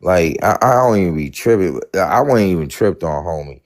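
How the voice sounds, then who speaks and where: A man's voice: low-pitched, expressive, moderate pace. An American man from Atlanta, born in New York, chatting casually in an emphatic, slangy way with some swearing.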